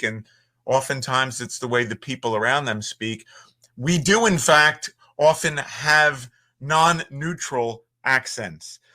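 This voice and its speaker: Slow-talking man with a Philly accent. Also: mid-range voice, sharp tone. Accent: Philadelphia. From Northeast Philadelphia. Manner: measured, articulate, chatty. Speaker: podcast guest, voiceover artist. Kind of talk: conversational podcast interview. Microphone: audio-only remote podcast call.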